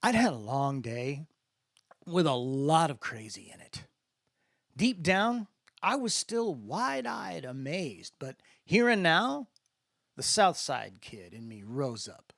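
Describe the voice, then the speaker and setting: A man's voice: fairly high pitch, sing-song, slow, vocal fry, a bit bright, dry recording, clean studio sound. A man giving a dramatic first-person narration of a story, as in an audiobook. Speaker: author-narrator reading fiction.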